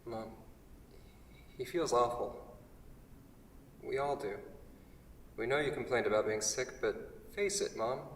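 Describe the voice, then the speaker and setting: A man's voice: takes it slowly, pauses often, a little hiss or hum. A man playing an exasperated college-student son who reasons and placates, in a staged play reading. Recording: very echoey, rumbly hall from far off.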